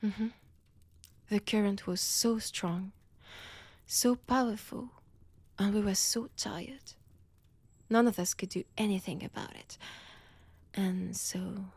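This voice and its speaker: Woman playing a slow, whispering French-accented vampire seductress. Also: mid-range voice, steady tone, no echo. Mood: wry, bitter. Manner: slow, taunting, theatrical, dropping often into breathy whispers. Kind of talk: intimate scripted roleplay audio drama, often whispered.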